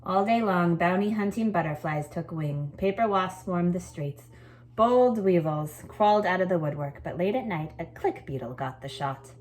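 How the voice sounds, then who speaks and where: A woman's voice: fairly low pitch, moderate pace, darkish tone, low-quality recording. A woman teacher speaking to pupils, as in a classroom storytime and lesson. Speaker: teacher reading a picture book to pupils. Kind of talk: expressive storybook read-aloud, then informal assignment explanation.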